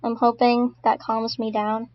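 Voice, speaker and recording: A woman's voice: on the high side, level tone, a little slow, lo-fi sound. A woman streaming casually to her chat, stuttering often and repeating her words. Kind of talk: casual live-stream commentary.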